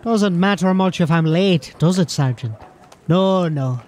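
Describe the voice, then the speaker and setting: A man's voice: high-pitched, a little slow, a little mellow. A man playing a distrustful, furtive street informant in an audio drama, with a colloquial way of talking. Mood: wary, sardonic.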